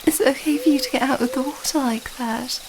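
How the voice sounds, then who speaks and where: A woman's voice: high-pitched, fairly even intonation, fast, background noise. A woman playing an affectionate young princess in love, speaking tenderly and hesitantly in a romantic fantasy ASMR roleplay. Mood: curious, lighthearted.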